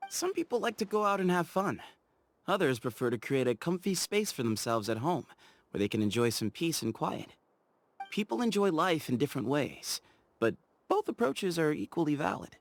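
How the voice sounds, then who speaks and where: Game character, relaxed, playful young adventurer; bouncy delivery, slightly raised pitch. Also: male voice, normal speed. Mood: easygoing. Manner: relaxed, chatty, teasing, laughs easily. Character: easygoing, playful young desert explorer man.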